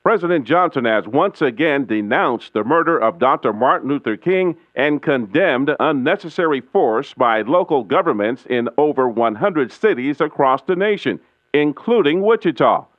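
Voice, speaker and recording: A man playing a 1960s radio news announcer, reading a scripted bulletin in a formal, measured newsreader manner. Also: mid-range voice, expressive, dry recording, phone-quality sound, lightly acted. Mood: grave, formal.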